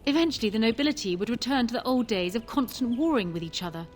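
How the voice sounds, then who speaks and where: An actress playing a proud, ambitious queen in a fantasy video game, speaking formally with calm, measured persuasion. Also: on the high side, soft background music, close to an everyday voice. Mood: cool, critical. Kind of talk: fantasy game dialogue acting.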